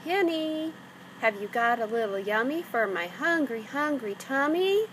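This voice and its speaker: A woman's voice: fairly high pitch, big pitch swings, unhurried, a bit bright, a little hiss or hum, pitched above the performer's own voice. A woman voicing a small, cheeky, hungry male gnome from a storybook, with a sing-song coaxing manner.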